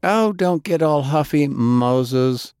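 A glamorous, prickly woman speaks with a cool, haughty edge and a raised, lilting pitch, played by a man reading an audiobook. The sound is close and dry with no room to it. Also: sing-song, slow, dry recording. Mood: cold. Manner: cold and haughty. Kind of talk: audiobook character voice.

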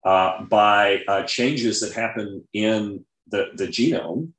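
A man with a fairly low voice talks in a roomy, echoing recording. He explains ideas informally, like an interview guest who knows science and reaches for everyday examples. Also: takes it slowly, fairly bright tone.

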